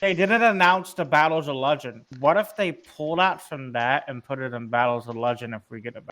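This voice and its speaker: A man's voice: mid-range voice, brisk, fairly bright tone. A man chatting casually about card games, blunt and sweary, often repeating himself. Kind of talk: casual card game chat. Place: online group voice call.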